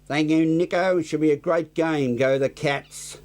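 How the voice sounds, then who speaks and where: A man's voice: fairly high pitch, steady tone, moderate pace, background noise. An Australian man presenting scripted community news as the anchor.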